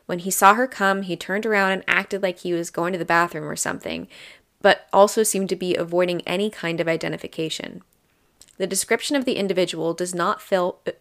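Podcast co-host, female, lower voice, relaxed and chatty while telling a true crime case. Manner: chatty, laughs often. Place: Ohio.

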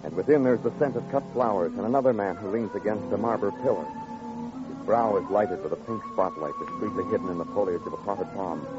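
An actor playing a hard-boiled, world-weary New York police detective in old-time radio noir, brooding, poetic and terse. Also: male voice, low-pitched, natural voice. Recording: dull vintage radio recording. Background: music.

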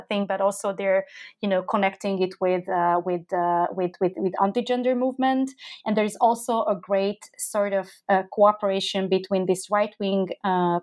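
A woman's voice: medium pitch, a little mellow. A woman speaking as a guest expert on a podcast, explaining at length with many fillers and restarts. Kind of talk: podcast discussion.

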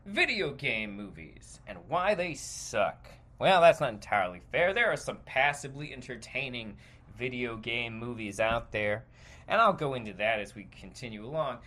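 A man's voice: mid-range voice, bright, forceful tone. A man hosting a podcast, giving casual, rambling, opinionated solo commentary in a conversational way. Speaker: podcast host.

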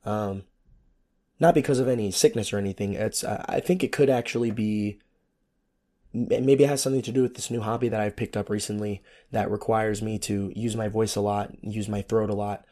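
A hoarse man who is losing his voice, hosting a casual solo podcast with a sarcastic, rambling manner and free swearing. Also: fairly low pitch, steady tone, fairly quick, warm tone. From United States. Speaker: podcast host.

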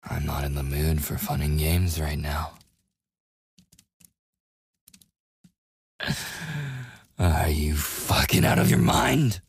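A man playing a gruff, protective werewolf with a secretly tender side in a boyfriend ASMR roleplay, his husky, gravelly voice often dropping toward a whisper as he gives grudging commands. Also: extremely low pitch, a little mellow, dry recording, heavily acted. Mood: angry, exasperated. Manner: slow, grudging, possessive, commanding. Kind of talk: boyfriend ASMR roleplay, intimate scripted acting.

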